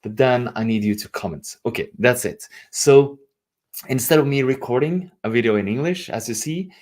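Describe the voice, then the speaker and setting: A man's voice: mid-range voice, normal speed, darkish tone. A middle-aged man from Norway with a Norwegian accent, giving an earnest, self-teasing motivational talk as a leadership coach.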